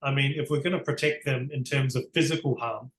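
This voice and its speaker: Earnest New Zealand man, mid pitch, explains with restarts; roomy echo, faint hum behind. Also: fairly even intonation, brisk. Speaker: barrister, interview guest. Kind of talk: conversational interview.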